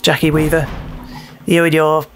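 A man's voice: on the high side, monotone, moderate pace, fairly bright tone, a little hiss or hum. A young British man giving casual, playful gameplay commentary, sweary and often repeating phrases in a sing-song way. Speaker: let's play host.

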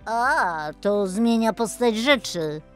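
A woman voicing a cocky old little car, a male gangster boss in a children's storybook, brisk, streetwise and commanding. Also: on the high side, animated, over music, voice pushed up. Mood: amused.

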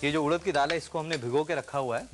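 Relaxed man explaining a recipe. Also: fairly high pitch, faint music behind. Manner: relaxed, explaining step by step with pauses. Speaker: TV chef and host. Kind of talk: cooking show demonstration with chat. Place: TV studio kitchen. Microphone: lapel mic.

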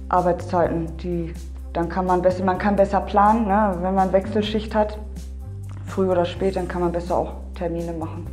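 A woman's voice: medium pitch, dark tone. A woman in her twenties, a medical assistant, giving brief plain interview answers. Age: 20s. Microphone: lapel mic.

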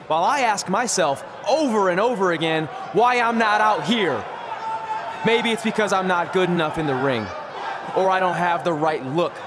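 A man voicing a determined young male wrestler in a video game, giving an earnest speech to the crowd. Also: high voice. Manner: earnest, declamatory ring speech. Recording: game audio with steady background noise.

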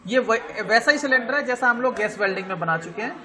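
A male teacher with an Indian accent giving an online lecture, explaining slowly, spelling out words letter by letter and often checking that students follow. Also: extremely high pitch, lively intonation, a crowd in the background.

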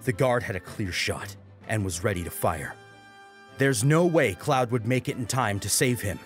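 A man's voice: on the low side, lively intonation, moderate pace. A man narrating a scripted story as a dramatic audiobook reader. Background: music.

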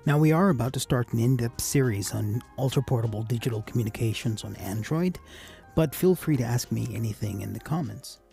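A man giving a casual, measured instructional voice-over as an amateur radio operator hosting a tutorial. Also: mid-range voice, animated, creaky, warm tone, soft background music.

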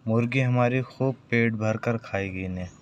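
A man's voice: medium pitch, moderate pace, lo-fi sound. A young adult man talking casually to viewers as a vlogger, explaining things step by step in an instructional way. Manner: instructional, addressing viewers directly. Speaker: vlogger keeping hens. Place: outdoor yard with plants and brick walls. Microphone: phone at arm's length. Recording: clear background noise, outdoor birdsong.

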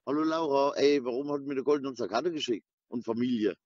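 A man's voice: mid-range voice, quick pace, darkish tone, no echo, muffled recording. A man chatting casually in a slangy, sweary, rambling way. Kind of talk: casual chat, voice messages and phone talk.

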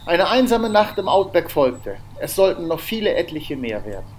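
Guy doing travel vlog voiceover, kinda high voice, dry jokes, bit of hiss behind. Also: very animated, moderate pace. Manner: dry, matter-of-fact storytelling with wry asides. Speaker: travel vlogger narrating. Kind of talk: voice-over travel narration. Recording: faint background noise.

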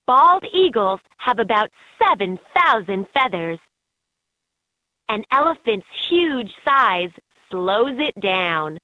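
A woman voicing an encouraging guide in a children's educational game, narrating in a clearly enunciated, instructive way. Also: fairly high pitch, very animated, slow pace, no room sound. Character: encouraging female guide in a kids' animal learning game. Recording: muffled lo-fi handheld game audio clips.

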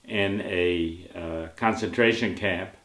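An older man recalling his wartime years, halting with frequent pauses, recorded in a living room. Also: deep voice. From Brooklyn, New York. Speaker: interviewee. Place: indoor living room, on a sofa. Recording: roomy sound with clear background noise.

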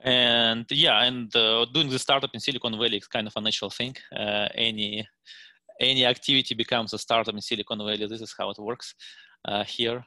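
A man in his thirties with a Russian accent, giving a meetup presentation over a headset on a video call from a room at home, halting with many fillers and restarts. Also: medium pitch, flat delivery, sharp tone, no echo. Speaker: guest speaker.

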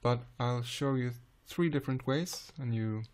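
A man with a Swedish accent calmly explaining music software in a screen-recorded tutorial, pausing often with "uh". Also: mid-range voice. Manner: calm, unhurried explaining with frequent "uh" pauses.

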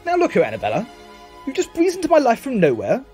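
A man playing a flustered, earnest upper-class gentleman in a homemade comic radio play. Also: very high voice, wildly swooping pitch, fast, faint music behind, full character voice. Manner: flustered, stiff-upper-lip exclamations.

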